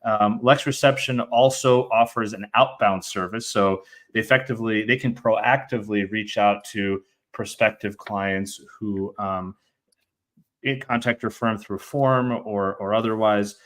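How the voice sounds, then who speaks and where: A man's voice: lowish voice, fairly even intonation, a little slow, fairly bright tone. A man hosting an interview show, asking long rambling questions full of fillers. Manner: rambling questions full of "you know" and restarts. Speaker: podcast host and immigration lawyer.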